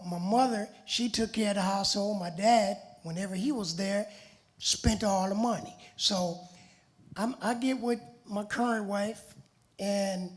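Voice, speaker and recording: A middle-aged man from Los Angeles with a raspy voice, giving a plain-spoken personal testimony in a streetwise storytelling manner. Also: high-pitched. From Los Angeles, USA.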